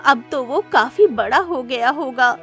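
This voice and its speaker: Woman acting a high-voiced, distraught queen. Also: expressive, unhurried, fairly bright tone, soft background music, exaggerated voice. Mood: anguished. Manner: pleading, wailing. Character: distraught, tearful adult queen mourning her lost son. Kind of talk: cartoon moral-story acting.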